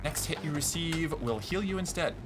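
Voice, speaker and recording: A man streaming a game, with a voice a little higher than most. He reacts out loud, swears freely and mutters to himself, while game music plays clearly behind him. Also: expressive, moderate pace. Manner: reactive, profane, talking to himself. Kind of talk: gameplay commentary.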